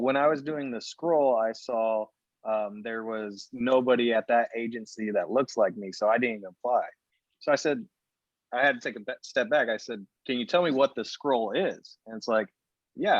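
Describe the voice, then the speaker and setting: A man interviews a guest in a casual, rambling way, winding up to his questions with fillers. His voice sits at a middle pitch. The audio is dry and dull, like a lo-fi call. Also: dry recording. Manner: casual, filler-heavy, builds long questions.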